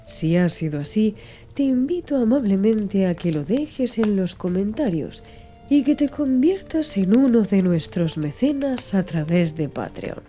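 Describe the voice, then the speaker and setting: Female audiobook narrator, very dark warm tone, soothing and expressive, over music. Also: medium pitch, a little slow. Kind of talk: scripted literary audiobook narration.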